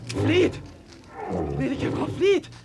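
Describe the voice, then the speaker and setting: A man's voice: very high voice, huge pitch swings, drawn-out words, vocal fry, heavy background noise, exaggerated voice. An actor playing a young horseman crying out in alarm in a radio drama. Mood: alarmed, urgent. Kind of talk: German radio drama acting.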